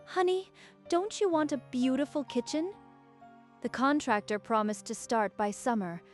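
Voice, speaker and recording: A woman's voice: sits high, normal speed, faint music behind, close to an everyday voice. An actress playing a manipulative stepmother who coaxes her husband in a sweet, persuasive tone for a manga dub.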